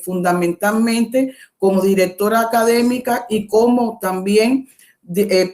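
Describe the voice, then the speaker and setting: Cuban lady teaching a webinar, slow and careful, stops to fix her words, laptop mic. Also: medium pitch, a little mellow. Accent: Cuban Spanish. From Cuba. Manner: measured, explanatory, with thinking pauses and self-corrections. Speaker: guest lecturer. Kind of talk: academic webinar lecture.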